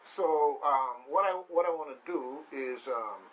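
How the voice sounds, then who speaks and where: A man's voice: mid-range voice, measured pace, lo-fi sound. A man hosting a radio talk show in a casual, rambling, emphatic way, often repeating phrases. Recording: slightly distant mic with faint noise.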